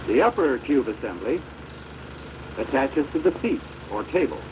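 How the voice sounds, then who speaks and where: An American man giving formal, measured instructional narration for a training film, on a deteriorated old projector soundtrack. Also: mid-range voice, expressive, background noise.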